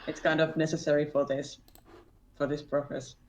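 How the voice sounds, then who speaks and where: A man with a somewhat high voice banters casually on a group call. Faint noise and small clicks run behind him. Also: little pitch movement, normal speed.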